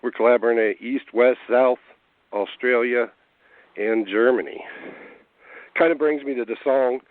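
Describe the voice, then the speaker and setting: A hoarse man talking in a laid-back, rambling way, as a guest on a call-in interview. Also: mid-range voice, unhurried, dry recording. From Cincinnati area. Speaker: guest rapper. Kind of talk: casual interview over phone. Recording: tinny phone line, dry.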